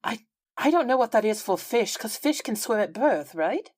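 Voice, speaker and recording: Lively-pitched woman playing a tabletop game. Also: normal speed, no room sound, clean studio sound. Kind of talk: casual improvised role-play.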